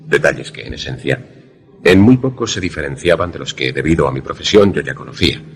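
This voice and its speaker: A man's voice: rumbling low, lively intonation, a little fast, creaky, slightly rough, exaggerated voice. A man playing a brooding, lovelorn former student who narrates a suspense radio drama in a grave, literary manner. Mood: grave, reflective.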